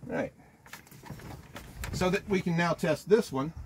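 A man in his sixties casually demonstrating boat motors, talking in a lively, rambling way and correcting himself, recorded outdoors on a small boat by a mounted camera. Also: high voice, a little slow. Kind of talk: casual product demo. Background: motor and water noise.

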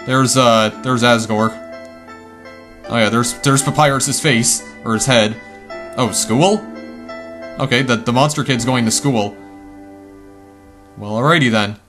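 A man commentating his game run casually, chatty and easily startled, his voice a bit hoarse. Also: mid-range voice, a little slow, long pauses, over music. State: slightly hoarse, losing voice. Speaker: let's play gamer.

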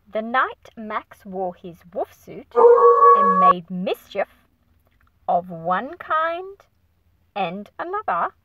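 A woman in her thirties reading a picture book aloud to children with expressive, deliberate, dramatic phrasing. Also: a little high, noisy background. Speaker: children's story reader.

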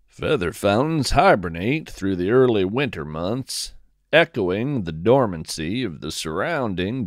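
A man hosting a scripted fiction podcast, narrating as a musing field naturalist in a measured, folksy storytelling manner. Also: on the low side, no room sound, studio quality. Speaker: podcast host.

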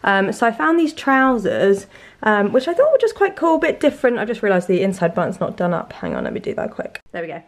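Chatty young woman, slightly hoarse. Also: medium pitch, wildly swooping pitch, fast. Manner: chatty, lots of "um" and "like". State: slightly sore throat from a cold. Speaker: vlogger. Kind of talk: casual chat to camera. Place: bedroom at home, indoors. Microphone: handheld camera, arm's length or mirror.

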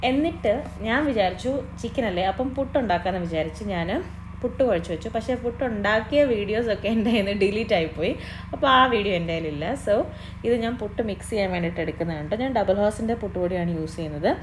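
A woman's voice: medium pitch, fast talker. A young woman with a Malayali accent, chatting through a home cooking vlog in a kitchen and mixing English words into her speech. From Kerala. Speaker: cooking vlogger. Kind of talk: casual cooking voice-over. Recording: noisy background.

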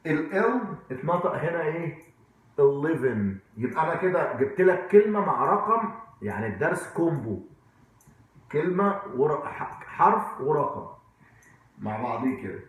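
A man's voice: a little high, big pitch swings, normal speed. Egyptian guy teaching english casually, repeats words a lot, asks questions, super echoey room. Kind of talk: informal whiteboard language lesson. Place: indoor room at a whiteboard.

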